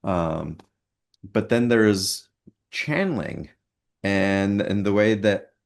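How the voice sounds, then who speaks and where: Deep-voiced male host, soft and halting. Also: creaky. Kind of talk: casual interview chat.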